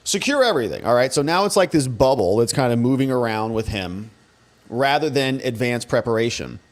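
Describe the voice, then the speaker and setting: A man's voice: mid-range voice, animated, fairly quick. A man giving casual, sarcastic commentary as a show host, speaking in a colloquial way. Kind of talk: casual commentary reacting to press conference clips.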